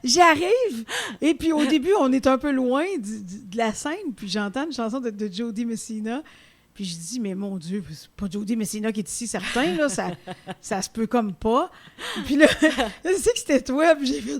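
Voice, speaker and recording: Québécois lady hosting a chat show, kinda high voice, gushing, laughs a lot. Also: animated, normal speed. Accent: Québécois French. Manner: effusive, laughs often, many restarts. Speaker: podcast host. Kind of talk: warm conversational interview.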